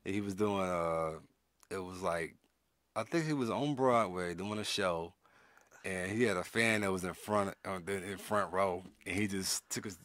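A man's voice: low-pitched, normal speed, fairly bright tone, no echo. A man co-hosting a podcast in a laid-back, agreeable way, chatting casually about a movie. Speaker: podcast co-host. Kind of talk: casual movie review chat.

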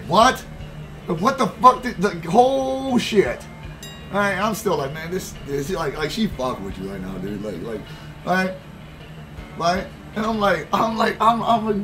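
A young man with a slightly high voice rambles through a story, swearing freely and piling up fillers as he goes. He speaks into a headset at his desk. Guitar music plays clearly under his voice. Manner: profane, rambling, animated storyteller with many fillers. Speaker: streamer. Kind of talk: casual storytelling while gaming. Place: indoor room at gaming desk. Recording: background guitar music playing.

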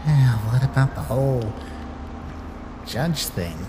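An irritable, scornful little flower creature played by a woman in a comic dub. The delivery is exasperated and sarcastic, with lively swings in pitch, heard in a long reverb with background noise. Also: takes it slowly, heavily acted. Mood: skeptical. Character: irritable, scornful talking flower, sharp but secretly worried. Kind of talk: dramatic comic dub voice acting.

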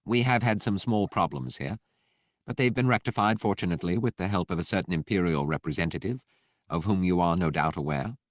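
A man's voice: sits low, a little fast, dry recording, clean studio sound, close to an everyday voice. A man voicing a calculating military commander in an audiobook, speaking formally and with measure. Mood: guarded, courteous.

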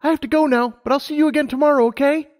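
A man's voice: very high-pitched, fairly even intonation, very fast, darkish tone, voice pushed up. A man voicing an eager, bubbly young female pony pilot in an acted audiobook, chirpy and earnest. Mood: excited.

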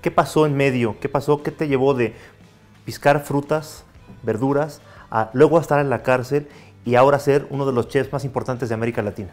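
A man's voice: medium pitch, steady tone, brisk. Man in his thirties, host, friendly, faint music behind. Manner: friendly, inviting questions. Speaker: interview host. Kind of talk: interview hosting. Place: bar with bottle shelves.

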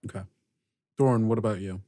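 A man narrating as game master of a tabletop role-playing game, measured and halting. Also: on the low side, dark tone, no room sound.

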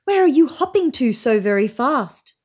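A woman's voice: sits high, very animated, moderate pace, darkish tone, slightly rough, voice pushed up, full character voice. A woman voicing a nervous fox in a storybook, calling out questions that turn anxious. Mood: curious.

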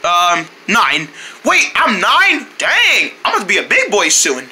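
A man acts out an excitable, mischievous little boy for a homemade cartoon-style skit. The voice is high and very sharp, with lively, childish singsong swings and exclamations. There is faint noise in the recording. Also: measured pace, full character voice. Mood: gleeful.

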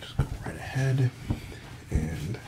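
A man in his thirties doing a soothing ASMR roleplay in a soft, breathy whisper and murmur, gentle and reassuring. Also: low-pitched, animated, takes it slowly, noisy background. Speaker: ASMR performer as hairdresser. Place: small indoor room set up as a salon.

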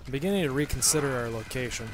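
Guy co-hosting a lets play, jokey, mock-holy banter, normal pitch. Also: expressive, racing, very noisy. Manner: joking, mock-zealous. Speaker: gaming channel co-host. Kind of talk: casual let's-play chat.

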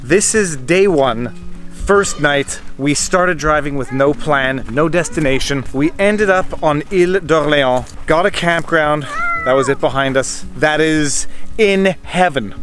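A man's voice: fairly high pitch, unhurried, a bit bright, soft background music. A man in his thirties, a family vlogger, narrating a road trip to a handheld camera in a casual, playful way. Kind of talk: casual travel vlog. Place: in a car and outdoors at a campsite. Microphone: handheld camera at arm's length.

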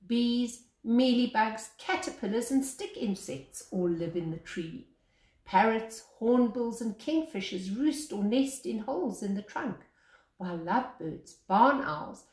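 An older woman, a teacher, reads a picture book aloud with deliberate phrasing and pauses between phrases. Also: mid-range voice, a little mellow. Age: older, 60s or above. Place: small living room, indoors. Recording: echoey room sound.

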